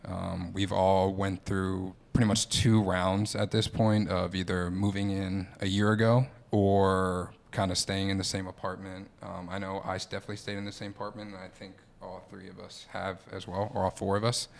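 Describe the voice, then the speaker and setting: Low gravelly young man hosting. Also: normal speed, few pauses. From Philadelphia. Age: young adult. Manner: relaxed, with frequent "um" and "like". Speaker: podcast host, optometry student. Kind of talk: casual podcast chat.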